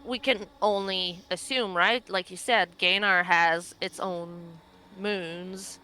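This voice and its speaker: Female tabletop roleplay player: crisp, bright delivery, relaxed casual talk. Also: lowish voice, takes it slowly, poor audio.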